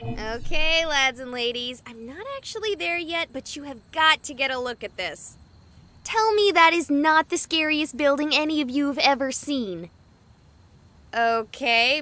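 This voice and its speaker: A woman playing a goofy, excitable young livestreamer in a visual novel, chatting playfully with exaggerated enthusiasm. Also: sits high, heavily acted. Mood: excited. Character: excitable, goofy young female livestreamer exploring haunted places.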